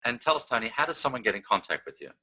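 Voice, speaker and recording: A man's voice: mid-range voice, very animated, rapid-fire, sharp tone, breathy edge, rough recording. A man hosting an interview, asking formal questions and opening each one with the guest's name. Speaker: interview host.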